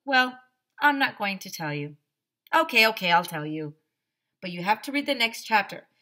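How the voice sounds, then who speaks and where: A woman's voice: medium pitch, sing-song, normal speed, sharp tone. A woman voicing a cocky, silly young schoolboy who tells his own story in a blunt, teasing way.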